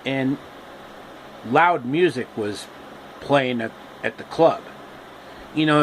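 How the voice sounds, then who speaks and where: A man telling a story casually and off the cuff, in a halting way with pauses and repeats. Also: medium pitch, a bit bright, a little hiss or hum, poor audio.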